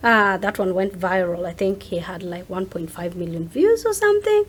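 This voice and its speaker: A woman's voice: medium pitch, lively intonation, moderate pace, slightly rough. A woman speaking casually as a guest in an interview, talking about herself as a content creator. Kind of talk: casual chat.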